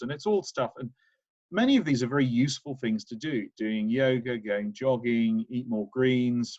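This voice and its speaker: A British man presents as a guest speaker in an online session, earnest and emphatic, often restarting his sentences. His voice sits at a middle pitch. The audio is dry and dull, like a video call. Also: no room sound. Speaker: guest speaker, management professor. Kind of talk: webinar presentation.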